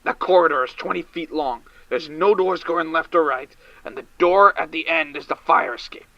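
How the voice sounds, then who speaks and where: A man as a frantic drunk caller, gravelly. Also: on the high side, moderate pace, no room sound, lo-fi sound, close to an everyday voice. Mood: shaken. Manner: frantic, panicky, shouting and stammering. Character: distraught drinking man on the edge of breakdown. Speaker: caller to a late-night radio show. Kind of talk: audio drama acting.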